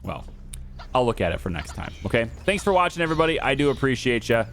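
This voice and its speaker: A man with a hoarse voice that he says he is losing, thinking aloud in casual let's-play commentary as he plays a game. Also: medium pitch, big pitch swings, fast talker, a bit bright. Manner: thinking aloud, muttering to himself and the viewers. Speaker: gaming YouTuber. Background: game music.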